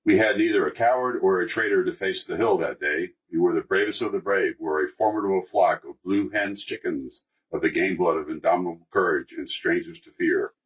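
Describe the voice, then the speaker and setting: A man with a low, rough-edged voice reads a historical account. He sounds a little away from the microphone. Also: a little fast, hardly any pauses. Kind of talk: scripted reading of a first-hand account. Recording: voice distant from microphone.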